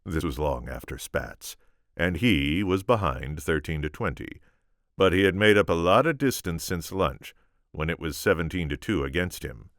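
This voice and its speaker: A man reading a story aloud as expressive narration, theatrical and deliberate. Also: low-pitched, dry recording, studio quality. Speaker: amateur audiobook reader.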